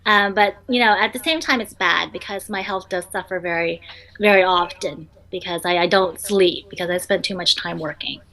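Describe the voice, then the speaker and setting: A woman's voice: fairly low pitch, moderate pace, fairly bright tone, rough recording. A young woman entrepreneur, a native Vietnamese speaker, answering interview questions in a chatty way full of ums and restarts.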